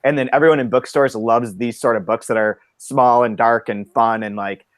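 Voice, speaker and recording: A man's voice: mid-range voice, steady tone, moderate pace, no echo, clean studio sound. A man co-hosting a book podcast, talking enthusiastically and casually with lots of "like" fillers. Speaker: podcast co-host.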